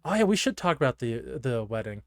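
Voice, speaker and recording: A man's voice: medium pitch, sing-song, fairly quick, no echo. Chatty man in casual podcast talk, dry sound. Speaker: podcast co-host. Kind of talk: casual discussion of a horror anime episode.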